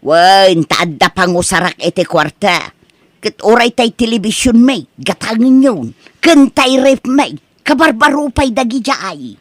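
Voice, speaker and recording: A woman's voice: on the high side, animated, measured pace, no room sound. A woman playing a stubborn, gullible middle-aged mother with a wheedling, exclamatory delivery in a radio-style comedy drama.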